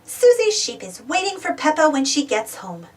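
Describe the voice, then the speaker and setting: A woman reading a children's storybook aloud in an animated, expressive way. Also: sits high, normal speed, distant mic. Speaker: storybook reader, channel host. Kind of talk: children's read-aloud narration.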